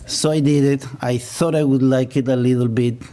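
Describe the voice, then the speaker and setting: A man's voice: medium pitch, fairly even intonation, normal speed, dark tone, slight background noise. A middle-aged man from Ecuador with an Ecuadorian Spanish accent, Spanish as his first language, giving a public lecture as a business professor with self-deprecating humor. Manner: self-deprecating, chuckles at own jokes.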